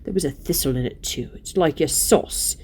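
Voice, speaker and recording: A woman voicing a surly, stubborn male dwarf in a storybook reading, grumbling gruffly and scornfully in colloquial speech. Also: low voice, moderate pace, dark tone, close to an everyday voice. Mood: indignant.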